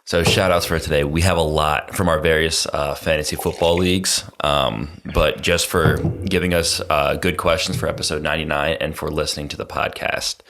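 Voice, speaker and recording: Casting: young man, very low voice, steady casual shout-outs, close mic. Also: normal speed, hardly any pauses. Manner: steady, reads a list of names. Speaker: podcast co-host. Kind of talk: casual chat.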